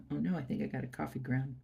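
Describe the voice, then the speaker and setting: A woman host chatting softly to viewers while doing a craft project, her nose stuffy, recorded on a phone at her workplace. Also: very deep voice, creaky. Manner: soft, chatty, murmuring to viewers. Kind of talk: casual chat while crafting. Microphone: phone camera.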